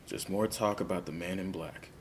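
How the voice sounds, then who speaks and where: A man acting a calm police interrogator who coaxes and probes in a measured way. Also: sits low, a little hiss or hum, close to an everyday voice. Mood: calm, probing.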